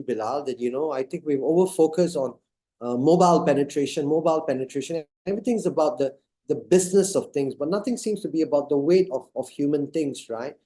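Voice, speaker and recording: A man's voice: fairly high pitch, warm tone. A man from Singapore moderating an online panel discussion, talking in a hesitant way with many fillers and restarts.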